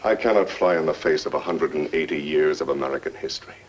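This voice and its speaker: A man's voice: a bass voice, quick pace, breathy edge. A man playing a firm American president in a 1950s film, solemn and resolute. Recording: dull, faintly noisy 1950s film soundtrack.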